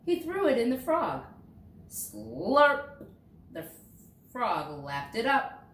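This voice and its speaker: In a roomy, echoing space, a woman a little away from the mic reads a children's storybook aloud. Her pitch moves in lively swings as she stresses key words, and she takes her time over each line. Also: slow. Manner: expressive, dramatizing key words for young listeners. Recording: roomy echo, distant mic, faint noise.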